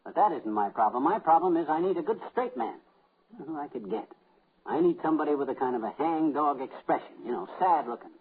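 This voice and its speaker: An actor playing a boastful, easily exasperated middle-aged husband in a 1950s radio comedy. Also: male voice, fairly high pitch, lively intonation, a little fast, husky. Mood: self-assured. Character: blustery, self-assured husband, amateur vaudeville joker. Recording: noisy narrow-band 1950s radio recording.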